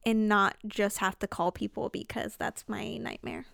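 A woman's voice: medium pitch, level tone, moderate pace, vocal fry, fairly bright tone, no room sound, clean studio sound. A woman in her late twenties co-hosting a podcast, chatting casually and joking about herself, with lots of "like". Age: late 20s. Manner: chatty, self-mocking, lots of "like". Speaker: podcast co-host. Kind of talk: casual chat.

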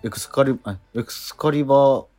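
A man hosting a radio show, chatting in a relaxed way with lots of quick backchannels. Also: medium pitch, faint music behind. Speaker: radio host. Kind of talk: casual chat.

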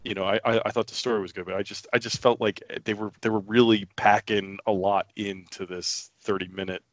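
A man with a low, hoarse voice chats casually on a podcast, rough from a recent loss of voice. He talks in halting starts and restarts. The sound is close and dry. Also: flat delivery, dry recording. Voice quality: raspy. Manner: hesitant, restarts often. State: hoarse, recovering from losing his voice. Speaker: podcast co-host. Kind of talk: casual chat.

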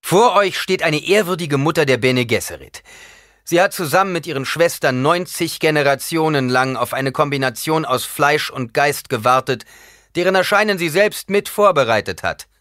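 A man's voice: fairly low pitch, big pitch swings, brisk, a bit bright, no room sound, studio quality. A male narrator voicing a defiant, commanding young man in an audiobook, declaiming with scorn and force. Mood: loud, triumphant.

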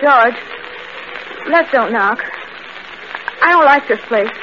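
Young female traveler, high and uneasy, 1940s radio drama style, very noisy recording. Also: animated, slow pace. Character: anxious young woman traveler on a stormy night. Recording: muffled, very noisy old radio broadcast.

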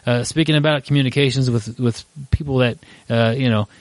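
A relaxed male podcast host who chats loosely and restarts his sentences as he goes. His voice sits at a mid pitch, and the recording is close and dry. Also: fairly quick, dry recording. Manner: rambling, lots of fillers and restarts, laughs easily. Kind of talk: casual podcast chat.

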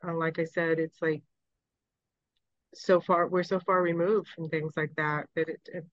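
A woman hosting a podcast, gently asking questions and recapping her guest's answers. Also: low voice, flat delivery, measured pace, no room sound, highs cut off. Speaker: podcast host. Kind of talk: interview questions.

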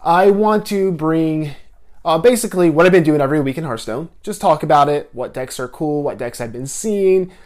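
A man's voice: on the high side, normal speed, darkish tone. Young guy doing a chill solo podcast from home, kinda stuffy from a cold, rambles and restarts a lot. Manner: rambling, with frequent restarts and filler words. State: getting over a head cold. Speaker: podcast host. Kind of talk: casual solo podcast talk.

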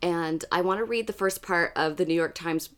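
A woman with a raspy, hoarse voice, hosting a casual book podcast and chatting loosely with friends, with many fillers. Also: lowish voice, moderate pace, a bit bright. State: hoarse after karaoke. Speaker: podcast host. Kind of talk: casual chat among friends.

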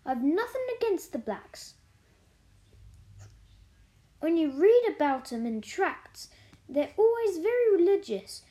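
A woman's voice: very high voice, big pitch swings, slow, long pauses, warm tone, voice pushed up, heavily acted. A woman voicing a good-humoured, plain-spoken young housemaid who talks in broad Yorkshire dialect, for a storybook. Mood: soothing, chatty.

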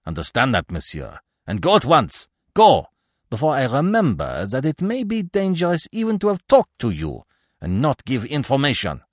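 A man voicing a sullen, resentful and fearful ferryman with a gruff, exclamatory manner in an audiobook story. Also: a bass voice, very animated, normal speed, no room sound, clean studio sound, heavily acted. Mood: angry. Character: sullen, frightened ferryman, angry and resentful, then pleading.

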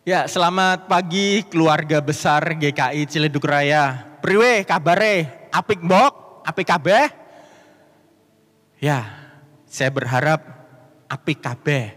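A man's voice: a little high, slow pace, pauses often, a bit bright. A man with a Banyumas Javanese accent, preaching and reading scripture aloud with emphatic, rising delivery in a church. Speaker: pastor. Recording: long church hall reverb.

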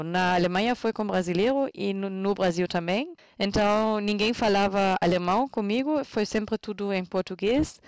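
A German woman with a clear German accent talks casually in a second language, taking her time and stopping now and then to find the right word. Her voice sits in a middle register. The recording is close and dry, with no room sound around her. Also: medium pitch, darkish tone, no echo. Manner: unhurried, thoughtful storytelling with searching pauses. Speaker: interview guest. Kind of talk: casual conversation.

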